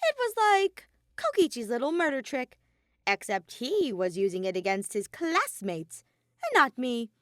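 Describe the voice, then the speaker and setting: A woman's voice: high-pitched, big pitch swings, unhurried, no echo, clean studio sound, pitched above the performer's own voice. A woman voicing a mischievous, sadistic male robot bear in an audio story, flippant and taunting. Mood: flippant, grumbling. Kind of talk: fan fiction audio story character voice.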